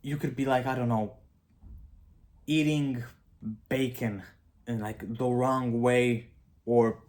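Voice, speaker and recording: A man's voice: mid-range voice, level tone, takes it slowly, darkish tone. A young man with a Romanian accent, talking casually to camera about philosophy, rambling and self-mocking with frequent asides. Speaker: video creator.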